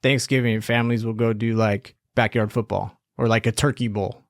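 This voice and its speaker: A young man from Southern California co-hosting a podcast, relaxed and reflective in casual chat, often saying "like". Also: lowish voice, moderate pace, no echo, studio quality. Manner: easygoing, reflective, says "like" often. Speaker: podcast co-host.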